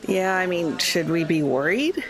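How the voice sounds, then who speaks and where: A woman's voice: lowish voice, lively intonation, unhurried. A woman playing a mild, polite, uneasy researcher in a tabletop game, speaking hesitantly. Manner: hesitant, soft-pedalling.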